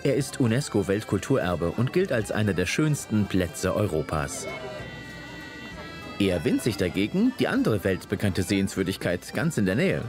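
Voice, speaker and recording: Male narrator for food and travel TV: lowish voice, highly varied melody, music underneath. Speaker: narrator of a TV cooking travel show. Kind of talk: scripted voice-over narration.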